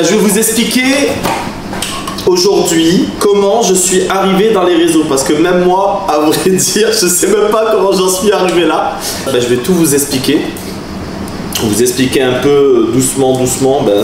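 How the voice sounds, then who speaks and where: A man's voice: on the high side, moderate pace. A man in his forties from southern France, telling stories to the camera in a chatty way, laughing often. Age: 40s. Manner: chatty, laughing, animated asides. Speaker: vlogger, taekwondo master. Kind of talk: casual storytelling to camera. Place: room with a camera crew. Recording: roomy, mic at a distance, background noise.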